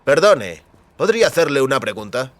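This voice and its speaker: A man's voice: medium pitch, sing-song, fairly quick, fairly bright tone, dry recording. A man voicing a polite male newcomer looking for work in an audio story, asking probing questions. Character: polite, probing male job seeker, newly arrived.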